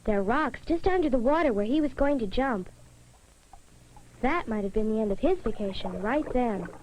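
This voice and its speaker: A woman's voice: fairly high pitch, expressive, normal speed, background noise, lightly acted. A woman playing a sensible schoolgirl who narrates a summer safety story in an educational film. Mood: earnest, sensible. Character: sensible schoolgirl recounting a summer lake trip.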